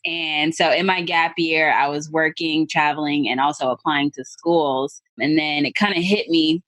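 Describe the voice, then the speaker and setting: A woman in her twenties speaks as a podcast guest, her voice a little low. She is cheerful and laughs easily, and her voice sounds close and dry. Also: fairly even intonation, normal speed, fairly bright tone, no room sound. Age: 20s. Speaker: guest, real estate investor. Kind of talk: casual interview chat.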